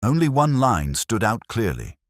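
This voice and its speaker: A middle-aged man narrating a first-person detective story in a brooding, measured, literary way. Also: mid-range voice, very animated, no echo, clean studio sound.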